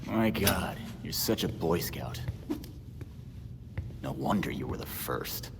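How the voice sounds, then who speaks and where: Deep, brooding, sardonic vigilante. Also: male voice, animated, slow pace, full character voice. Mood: wry. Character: bitter, sardonic young male vigilante, back from death. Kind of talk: video game cutscene acting. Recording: long reverb, clear background noise.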